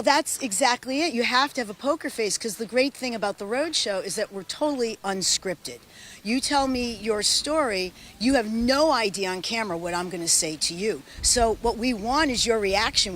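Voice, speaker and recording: A middle-aged woman from Massachusetts, an antiques appraiser, talking in a chatty, animated way in a live TV interview outdoors. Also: medium pitch, slight background noise. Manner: chatty, animated, quick bursts with laughs.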